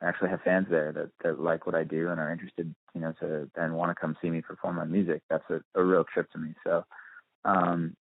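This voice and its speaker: A man's voice: sits low, little pitch movement, fairly quick, no echo. A man giving a casual phone interview as a musician, speaking thoughtfully and hesitantly, often circling back to rephrase. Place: on a phone call. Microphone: telephone. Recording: muffled, dry phone-line audio.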